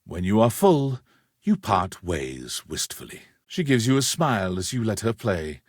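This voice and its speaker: A man playing a poetic storytelling narrator in a video game, addressing the listener in measured scripted prose. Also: fairly low pitch, big pitch swings, dry recording, studio quality. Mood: wistful, admiring.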